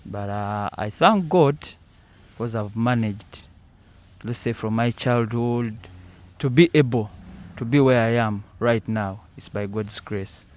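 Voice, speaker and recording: Soft-spoken Ugandan footballer, earnest. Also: male voice, mid-range voice, slow. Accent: Ugandan English. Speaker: footballer guest. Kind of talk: casual interview answers.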